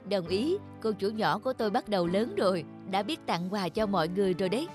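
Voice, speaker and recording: A woman voicing a kind, patient nanny in a cartoon who speaks gently to the girl she cares for. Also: mid-range voice, lively intonation, fast, music in the background, natural voice. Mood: gentle. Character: Mary, a kind middle-aged nanny. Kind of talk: cartoon dubbing.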